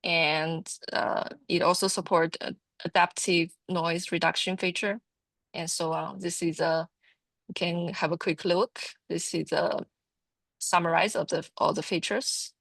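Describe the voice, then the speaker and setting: A woman giving an informal product training presentation, explaining haltingly and often repeating her words. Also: on the low side, little pitch movement, gravelly, a bit bright, no room sound.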